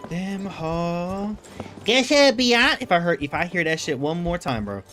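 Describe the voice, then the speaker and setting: A man's voice: high-pitched, big pitch swings, normal speed, fairly bright tone. A man streaming a game who swears freely and jokes about what happens on screen. His voice swings up and down as he reacts. Faint game music plays behind him. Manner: profane, joking reactions to the game. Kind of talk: casual live gameplay commentary.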